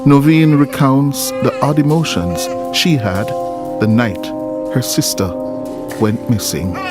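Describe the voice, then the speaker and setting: Male documentary narrator, mid-pitched, strong plucked-guitar music bed. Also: slow pace. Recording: prominent music bed behind voice.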